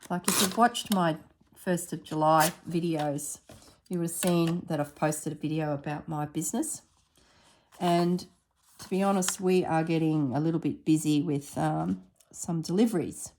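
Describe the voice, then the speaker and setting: A woman with a slightly husky voice narrating a casual craft tutorial at her table, thinking aloud as she works. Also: deep voice, fairly even intonation, a little mellow, a little hiss or hum, low-quality recording. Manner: unhurried, thinks aloud while working. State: recovering from illness, slightly husky throat. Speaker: craft vlogger.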